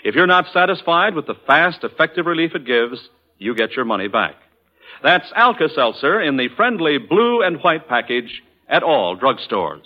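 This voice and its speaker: Male commercial announcer, 1940s radio style, earnest and emphatic, very lively intonation, muffled old broadcast sound. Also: fairly high pitch, normal speed. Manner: earnest, emphatic salesman delivery.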